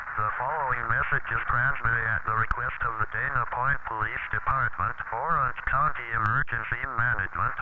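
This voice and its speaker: A man playing a stern emergency alert announcer, reading formally and officially through a radio filter in an amateur drama. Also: mid-range voice. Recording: tinny, noisy emergency radio transmission.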